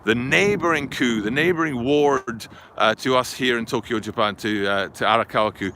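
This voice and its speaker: A man's voice: medium pitch, moderate pace, bright, forceful tone. A man filming a live outdoor vlog, talking in a rambling, chatty way and often correcting himself. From Scotland. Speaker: vlogger. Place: outdoors by a river and highway in a city. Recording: faint outdoor street noise. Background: traffic and city ambience.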